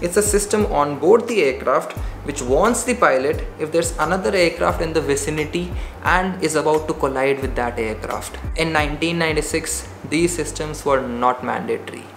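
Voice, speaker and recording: A man's voice: a little high, moderate pace, gravelly, few pauses, a bit bright. A young man with an Indian English accent, earnestly explaining an aviation story to camera as a pilot. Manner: earnest, gestures a lot while explaining. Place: indoor room with shelves. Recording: roomy echo, faint music behind.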